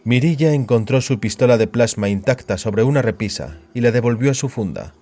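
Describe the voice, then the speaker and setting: A man's voice: medium pitch, expressive, a little fast, warm tone. A man narrates an audio story from a script. His voice sits in a middle register for a man. He works as the storyteller between the dramatised lines.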